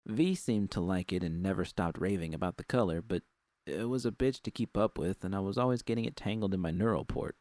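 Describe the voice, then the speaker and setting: A man's voice: sits low, brisk, darkish tone, no room sound. A man reading scripted first-person fiction as an audiobook narrator.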